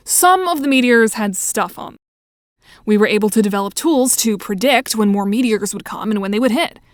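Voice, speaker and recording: A woman's voice: medium pitch, moderate pace, no echo, studio quality, lightly acted. A voiced blunt cynical woman storyteller. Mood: explaining. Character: weary young woman ex-researcher, blunt and cynical. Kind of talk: audiobook character reading.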